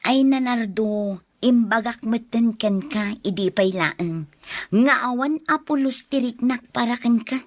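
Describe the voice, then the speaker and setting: A woman's voice: on the high side, unhurried, no echo, natural voice. A woman playing a blunt, exasperated young daughter in a radio drama, with a curt manner and an Ilocano accent.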